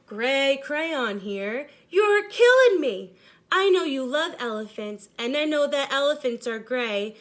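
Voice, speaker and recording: A woman's voice: high-pitched, fairly bright tone. A woman voicing a tired, overworked storybook crayon who complains with exasperated emphasis.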